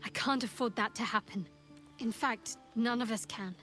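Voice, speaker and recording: Guarded female companion: fairly high pitch, earnest and serious, fantasy game delivery. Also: moderate pace, bright, forceful tone, faint music behind. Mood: worried, urgent. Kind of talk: video game character dialogue.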